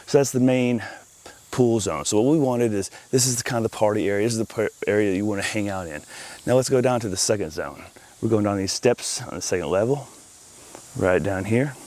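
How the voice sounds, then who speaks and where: Male installer, lowish voice, upbeat and salesy, casual outdoor walk-through tour on a handheld camera. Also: a little fast, a little mellow. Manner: enthusiastic, chuckling, salesy. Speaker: home audio installer giving a project tour. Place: outdoors in a backyard by a pool.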